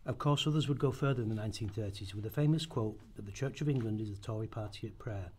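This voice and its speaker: A man's voice: lowish voice, fast talker, darkish tone, slightly rough. A man narrating a historical radio play at a rehearsal, reading from a script and occasionally stumbling over it. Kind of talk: scripted documentary-drama narration, read aloud.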